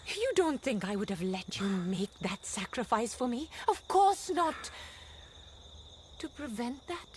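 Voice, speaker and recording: A woman's voice: a little high, sing-song, measured pace, a little hiss or hum. An actress playing an earnest young wife and mother who is resolved to break free of her marriage in a radio drama. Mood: hurt, accusing.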